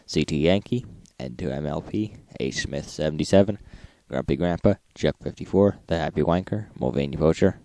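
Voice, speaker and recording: A man's voice: a bass voice, moderate pace, warm tone, dry recording. A man hosting an informal radio recap, narrating logs in a matter-of-fact manner.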